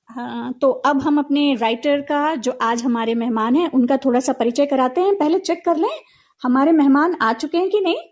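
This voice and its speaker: A woman's voice: sits high, moderate pace, a little mellow, lo-fi sound. A woman hosting a casual live talk show, chatting directly with her co-host and listeners.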